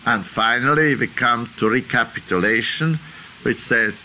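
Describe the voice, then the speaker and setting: An older Swiss-accented man teaches a class in a sharp, very bright voice. He talks very slowly and deliberately, working his ideas out aloud. He often checks in with a questioning "huh?". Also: mid-range voice, slight background noise, poor audio. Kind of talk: unscripted lecture.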